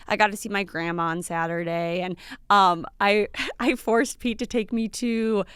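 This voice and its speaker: A woman's voice: mid-range voice, fairly even intonation, normal speed, fairly bright tone, clear, resonant tone, dry recording, studio quality. A woman in her late twenties co-hosting a podcast, chatting casually with lots of fillers and little laughs. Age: late 20s. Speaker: podcast co-host. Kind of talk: casual chat.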